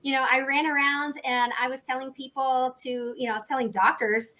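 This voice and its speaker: A woman's voice: high-pitched, little pitch movement, a little fast, bright, forceful tone. A young adult woman hosting a casual podcast chat, upbeat and chatty, laughing often. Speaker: podcast host.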